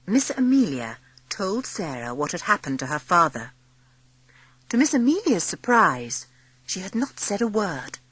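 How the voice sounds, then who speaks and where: A woman's voice: medium pitch, sing-song, a little slow, fairly bright tone, breathy edge. A woman reading scripted narration for a storybook audiobook drama.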